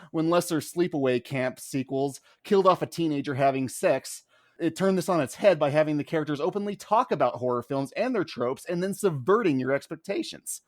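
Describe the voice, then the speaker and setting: A man's voice: on the high side, dry recording, studio quality. A man acting as defense advocate in a mock trial, speaking emphatically and colloquially with theatrical courtroom flair. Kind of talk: theatrical courtroom argument mixed with casual banter.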